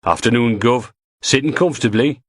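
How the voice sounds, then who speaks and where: A man's voice: medium pitch, moderate pace, a bit bright, no room sound, voice pushed up, natural voice. A man voicing a thuggish male henchman with a Geordie accent, cheery and crude. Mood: jeering.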